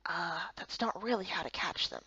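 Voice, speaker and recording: A curt, reserved male guard done by a woman for a fantasy game. The delivery is lowered, deadpan and disapproving, with a dry close recording. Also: moderate pace, husky, dry recording, lo-fi sound, full character voice. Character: low-voiced, gruff, curt male guard, stern and reserved.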